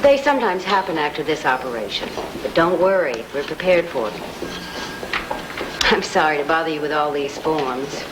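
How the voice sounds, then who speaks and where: A woman playing a calm, polite hospital staffer in a naturalistic 1970s TV drama. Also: sits low, normal speed. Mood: reassuring. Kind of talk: naturalistic 1970s TV film acting. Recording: very noisy old TV broadcast recording.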